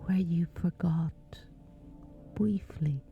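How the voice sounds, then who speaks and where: Very slow, whispery woman guiding sleep. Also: fairly low pitch. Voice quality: breathy, whispery. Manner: hushed, soothing, gentle, with long pauses. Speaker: sleep meditation guide. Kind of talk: scripted sleep meditation, ASMR-like. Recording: slightly dull, soft music behind. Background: music.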